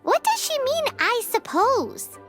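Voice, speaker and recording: A woman voicing a tiny, excitable female companion creature who speaks of herself by name, in a game with loud music behind. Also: very high voice, takes it slowly, exaggerated voice.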